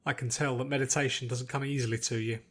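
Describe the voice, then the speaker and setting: A man voicing a calm, earnest veteran paladin who mentors a pupil in a formal, composed way in an audiobook. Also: medium pitch, quick pace, natural voice. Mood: calm, firm.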